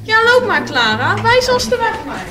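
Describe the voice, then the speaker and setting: An actress playing an eager, trusting woman in a radio play, coaxing and insistent in an excitable way. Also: extremely high pitch, normal speed, music in the background. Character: eager, trusting young woman following a cow. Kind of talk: Dutch radio play acting. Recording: roomy, noisy radio play recording with clicks.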